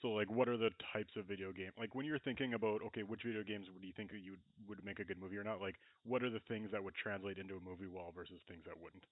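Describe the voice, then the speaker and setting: A man's voice: on the low side, steady tone, fast talker, few pauses, no room sound. A man co-hosting a casual podcast discussion, explaining his points and often leaning on the word "like". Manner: explanatory, frequent "like". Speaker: podcast co-host. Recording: narrow phone-like band, dry.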